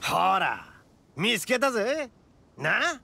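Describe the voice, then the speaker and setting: Hot-blooded oni braggart, high-pitched for a man, gravelly edge, rough masculine speech, anime game. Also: very animated, slow pace, background noise, full character voice. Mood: excited, boastful. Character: boisterous, cocky young male oni, hot-blooded braggart. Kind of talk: anime-style game voice acting. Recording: studio voice over game music. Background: background music.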